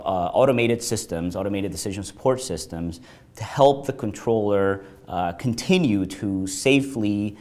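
Male researcher, 30s, lowish voice, halting explanatory delivery. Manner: measured, with fillers and restarts. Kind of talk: explaining to camera. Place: dark studio with display screens.

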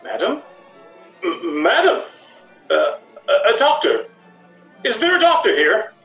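Casting: actor as formally polite, secretly crooked waiter, very lively intonation, off the mic, radio mystery. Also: male voice, sits high, a little slow, soft background music, exaggerated voice. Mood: flustered. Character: deferential waiter secretly in league with villains. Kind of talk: period radio play acting. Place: at home on a video call. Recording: roomy, distant home video-call sound.

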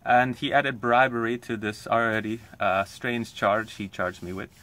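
A man tells a comic story. His voice is bright and forceful. He talks in an earnest, rambling way, with many pauses. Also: fairly low pitch, steady tone, no room sound. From Poland. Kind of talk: comic monologue.

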